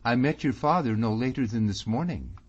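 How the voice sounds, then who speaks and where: A man's voice: mid-range voice, lively intonation, fairly quick, voice pushed up, close to an everyday voice. A man reading an audiobook, voicing an earnest young man who pleads anxiously, close to his own voice. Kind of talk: audiobook character voice. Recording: slightly muffled recording.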